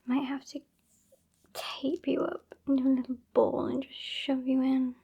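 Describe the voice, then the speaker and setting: A British woman playing a sassy, bossy centuries-old female vampire in an ASMR roleplay, soft and whispery, with a haughty, teasing, dry delivery. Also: sits high, level tone, unhurried, vocal fry, breathy edge, highs cut off, heavily acted. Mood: bossy, musing.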